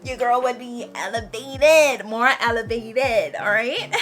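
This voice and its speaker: Woman in her twenties, vlogger, preachy and encouraging, repeats phrases, bright tone. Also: a little high, slow pace, soft background music. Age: 20s. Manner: lively, preachy and encouraging, repeating key phrases, addressing viewers as "girl". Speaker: lifestyle vlogger. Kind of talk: casual motivational advice talk.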